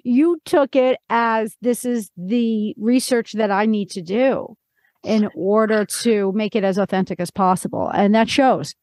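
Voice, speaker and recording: A woman's voice: medium pitch, unhurried, a little mellow, no room sound. A woman, middle-aged, hosting a podcast interview in a conversational, affirming way.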